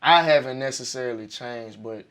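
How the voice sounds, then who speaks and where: A young man from Arkansas with a raspy voice, a music artist telling stories in a casual interview, animated and full of slang. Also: medium pitch, normal speed. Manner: animated, profane storytelling with slang.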